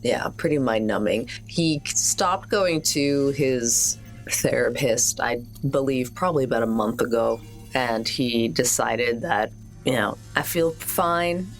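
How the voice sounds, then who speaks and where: A woman's voice: extremely low pitch, slow pace, faint music behind. A woman chatting casually and a little hesitantly as she improvises as a player in a tabletop roleplaying game.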